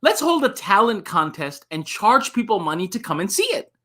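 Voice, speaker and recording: Man doing Omar, an eager, high-pitched schoolboy. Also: very animated, moderate pace, bright, forceful tone, heavily acted. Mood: eager, excited.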